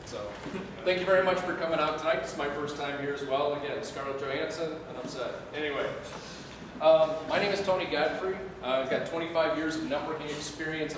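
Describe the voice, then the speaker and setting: A man's voice: fairly high pitch, a little fast. A middle-aged man giving a casual, joking talk to a meetup group in a meeting room. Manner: chatty, joking, loose. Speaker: presenter. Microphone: far room mic. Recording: echoey room, mic far off, hiss.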